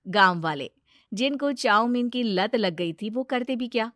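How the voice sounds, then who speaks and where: Woman storyteller, slightly high, close dry sound. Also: lively intonation, a little slow, a bit bright, no echo. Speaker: narrator. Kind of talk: scripted Hindi moral story narration.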